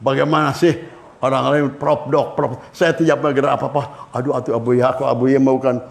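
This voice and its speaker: An older man with a Sundanese accent giving a religious lecture to students, lively and switching between languages as he acts out anecdotes. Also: medium pitch, brisk, vocal fry. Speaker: Islamic cleric.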